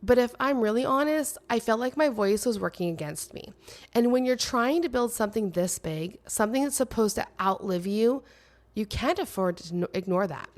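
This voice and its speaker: A woman's voice: on the high side, animated. Nasal, slightly crackly woman podcaster. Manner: earnest, emphatic, with dramatic pauses. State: a little crackly, congested voice. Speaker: podcast host. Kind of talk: personal solo podcast monologue.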